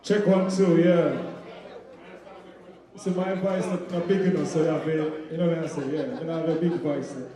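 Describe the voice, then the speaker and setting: A man, a sound system selector, testing the mic and giving relaxed shout-outs. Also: fairly high pitch, normal speed, crowd noise. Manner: relaxed, repetitive, giving respect. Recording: echoey hall.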